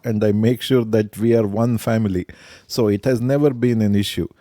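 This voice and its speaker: A middle-aged man, answering family interview questions in a measured, reflective way. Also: on the low side, fairly even intonation, dark tone, dry recording, studio quality. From India. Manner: measured, reflective, some hesitations. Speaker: interviewee, stepfather.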